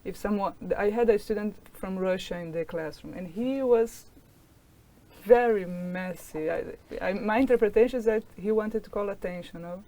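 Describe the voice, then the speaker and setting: A woman's voice: mid-range voice, normal speed. Young woman student teacher telling stories. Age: young adult. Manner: animated, acts out quoted dialogue. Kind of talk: storytelling in conversation.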